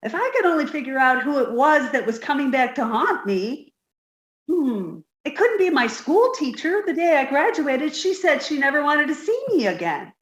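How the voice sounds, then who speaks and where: A woman's voice: high-pitched, big pitch swings, normal speed, reverberant. A woman playing a naive, dim young secretary with an earnest, literal manner in a 1950s-style radio comedy. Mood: puzzled, earnest.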